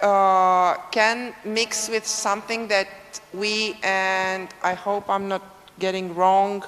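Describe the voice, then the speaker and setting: A woman's voice: mid-range voice, fairly bright tone. A woman speaking English with a Romanian accent, answering frankly as a conference panelist. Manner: frank, unhurried, self-assured. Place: large conference hall. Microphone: table microphone, at times dead. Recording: reverberant hall, voice from a distance.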